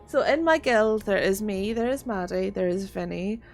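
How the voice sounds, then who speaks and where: A woman's voice: medium pitch, lively intonation, normal speed, soft background music. A woman with a rural Northern Irish accent chatting on a gaming livestream, swearing freely and laughing often as she reads chat. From Northern Ireland. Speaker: streamer.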